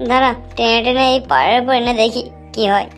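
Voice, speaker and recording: A brash young village woman played by a woman in a cartoon, with a high voice. She grumbles to herself and scolds others bluntly, with music playing behind her. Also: fast talker, close to an everyday voice. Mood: alarmed. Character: brash, foul-mouthed young village clothes-seller woman. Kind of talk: cartoon dialogue.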